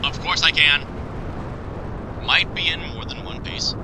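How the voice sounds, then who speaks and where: An actor playing a cocky, glib billionaire armored hero in a comic dub, flippant and full of wisecracks. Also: male voice, a little high, fairly even intonation, unhurried, noisy background, natural voice. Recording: music behind the voice.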